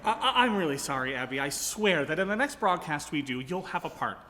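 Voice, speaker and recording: An actor playing an apologetic, earnest, halting theater director in a live radio comedy. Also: male voice, on the high side, animated, background noise, close to an everyday voice. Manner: halting, placating. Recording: reverberant ballroom stage.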